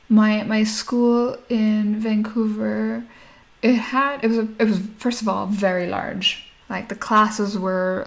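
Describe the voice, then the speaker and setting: A woman in her thirties who grew up in Nigeria chats through an interview, often laughing as she speaks. Her voice sits at an ordinary middle pitch with a gravelly, creaky texture. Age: 30s. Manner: chatty, laughs often while talking. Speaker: guest. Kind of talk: casual interview conversation.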